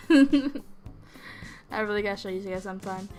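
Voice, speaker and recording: A young woman with a hoarse, raspy voice, still recovering from being sick, rambling unscripted in a vlog and laughing often. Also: medium pitch, slow. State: hoarse after illness. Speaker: video creator. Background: loud music.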